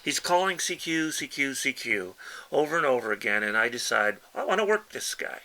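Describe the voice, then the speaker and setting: A man with a bright, forward voice leads a hands-on tutorial. His mid-range pitch rises and falls in an animated way. He explains things casually and patiently, one simple step at a time. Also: normal speed, rough recording. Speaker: instructor and presenter demonstrating a ham radio keyer.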